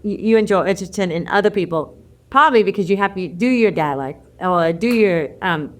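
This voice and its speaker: A woman hosting a podcast who chats casually, laughs easily and jumps in with quick interjections. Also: medium pitch. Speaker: podcast host.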